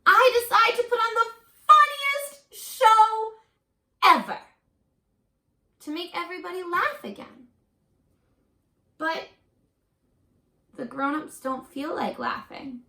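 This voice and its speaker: A woman reads a children's picture book aloud in a slow, very bright voice, like a teacher speaking straight to her pupils. She is expressive and encouraging, stopping for little questions and asides. The room adds a noticeable echo. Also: very high voice. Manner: encouraging, expressive, talking directly to young children. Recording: roomy echo.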